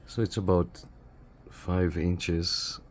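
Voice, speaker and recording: A man's voice: low voice, flat delivery, extremely slow, darkish tone. A man from Canada talking casually as he reviews and operates radio gear, often repeating short phrases. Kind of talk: casual hands-on product review. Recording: clear background noise.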